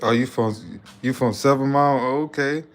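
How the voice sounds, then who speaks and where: A young man hosts a casual live stream. He talks in a loose, repetitive way as he reads out viewer comments. His voice is smooth and clean, and it stays fairly flat and even in pitch. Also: a little fast. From Detroit, USA.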